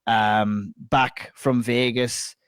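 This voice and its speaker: An Irish man hosting a casual podcast chat, a bit hoarse and croaky from a flu, chatty and sweary. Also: medium pitch, flat delivery, extremely slow, no room sound, clean studio sound. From Ireland. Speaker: podcast host.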